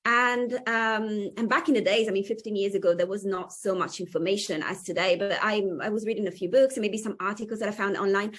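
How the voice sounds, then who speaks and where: A woman's voice: medium pitch, steady tone, a little fast, few pauses. Woman podcast host, native Italian speaker, enthusiastic, friendly, fillers. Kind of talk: casual interview chat.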